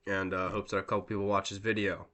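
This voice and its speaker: A man's voice: sits low, little pitch movement, quick pace, sharp tone. A man with a congested, stuffy voice, hosting his own channel and giving casual, rambling market commentary with lots of fillers and a self-deprecating tone. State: congested from a cold. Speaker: crypto channel host.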